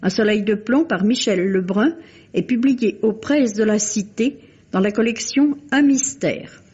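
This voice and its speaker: Lady critic reading a literary review on old radio, soft dark tone, formal, dull lo-fi sound. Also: medium pitch, slightly rough. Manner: formal, literary, measured phrasing. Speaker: book reviewer on a radio show. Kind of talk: scripted literary review, read aloud. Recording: muffled lo-fi vintage radio recording.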